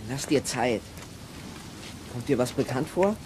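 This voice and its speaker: A man's voice: mid-range voice, lively intonation, measured pace, noisy background, lightly acted. An actor playing a level-headed, encouraging boy detective in a children's radio play, prompting and reasoning. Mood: encouraging, urgent.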